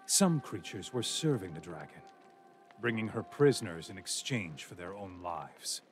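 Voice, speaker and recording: A man giving grave, measured scripted narration for a fantasy game. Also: deep voice, big pitch swings, faint music behind.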